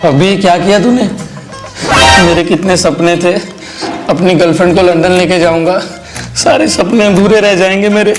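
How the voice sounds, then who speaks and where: A man plays a greedy, theatrical young lover in a comedy sketch. The voice is high and whining, full of melodrama, with music clearly behind it. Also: fairly even intonation, normal speed, lightly acted. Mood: melodramatic wailing. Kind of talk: exaggerated comedy skit dialogue.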